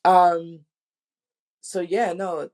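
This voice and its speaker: A woman's voice: on the low side, little pitch movement, extremely slow, darkish tone. A woman speaking as a drama director, telling candid anecdotes in an informal, emphatic way and acting out quotes.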